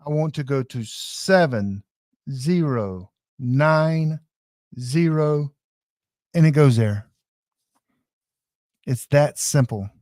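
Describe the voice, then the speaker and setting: A man's voice: mid-range voice, lively intonation, extremely slow, pauses often, darkish tone, dry recording, clean studio sound. A man giving a casual, hands-on live tutorial in a folksy, informal way, speaking straight to his viewers. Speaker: online class presenter demonstrating radio gear. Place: indoors.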